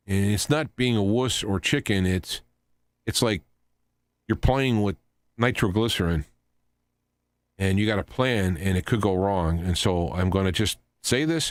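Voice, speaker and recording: A man hosting a radio talk show, giving an unscripted commentary in a halting, rambling way, often repeating and restarting his phrases. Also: on the low side, no room sound. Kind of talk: unscripted news commentary monologue.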